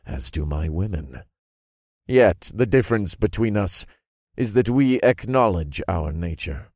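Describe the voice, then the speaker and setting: A man voicing a smug, cruel dark lord in an audiobook, with a deliberate, growling, sneering manner. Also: low voice, no echo, studio quality. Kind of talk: audiobook character voice.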